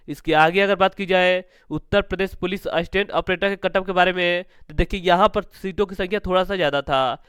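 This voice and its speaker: High-pitched man presenting exam information. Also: fairly bright tone, clear, resonant tone, no room sound, clean studio sound. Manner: repetitive, formulaic, asks and answers own questions. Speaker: exam-prep channel host.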